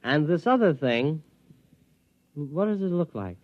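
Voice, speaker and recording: An actor playing an excitable, naive vacationing hunter with an earnest comic manner, in a dull-sounding 1950s radio comedy. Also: male voice, on the high side, measured pace, dry recording. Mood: earnest, whimsical. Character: excitable, naive, whimsical man on a hunting vacation. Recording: dull, dry vintage radio recording.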